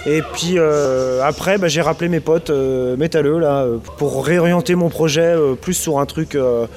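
Man, thirties, from Brittany, casual interview talk, lots of fillers and pauses. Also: a little high, a little mellow. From Brittany, France. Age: 30s. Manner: hesitant, many fillers and restarts. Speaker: interviewed musician.

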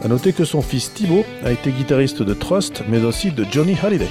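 A man's voice: mid-range voice, expressive, fairly quick. A man presenting a radio segment, reading a scripted introduction of a guest. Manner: reads a prepared script. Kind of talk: scripted introduction over music. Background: guitar music.

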